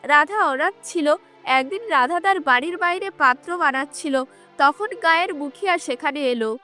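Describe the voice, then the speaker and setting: A female storyteller narrates a cartoon tale from a script. Her voice is extremely high, with faint music playing behind it. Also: normal speed, pushed and bright.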